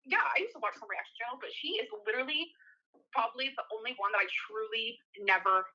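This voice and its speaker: A blunt woman argues casually over the phone in a very sharp, piercing voice. She talks fast and sits a little high, and the call recording is somewhat dull. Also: expressive. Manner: blunt, swearing. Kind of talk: confrontational casual phone conversation.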